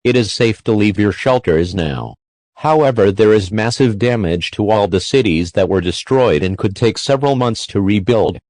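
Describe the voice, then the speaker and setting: A man's voice: lowish voice, expressive, moderate pace, a little mellow, no echo. A man's synthetic-sounding voice reading scripted emergency alert messages as a formal announcer.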